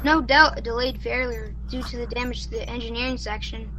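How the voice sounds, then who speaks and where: A high, bright young crew voice performed by a woman, with faint noise behind it. The character is an eager, quick-thinking youngster in a space radio drama. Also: fairly even intonation, lightly acted, poor audio. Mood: sly, prompting. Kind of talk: sci-fi radio play acting.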